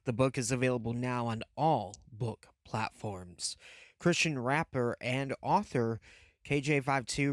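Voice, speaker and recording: A man's voice: mid-range voice, dry recording. Dry-voiced male podcast host. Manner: easygoing, joking, with fillers. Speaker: podcast host and news reader. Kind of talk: casual interview chat and read news segment.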